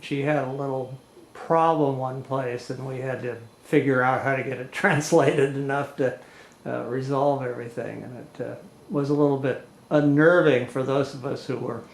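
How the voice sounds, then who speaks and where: A man's voice: medium pitch, fairly even intonation, moderate pace, gravelly. A man interviewing a guest, asking rambling questions in a friendly way and chuckling between phrases. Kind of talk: oral history interview. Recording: roomy audio.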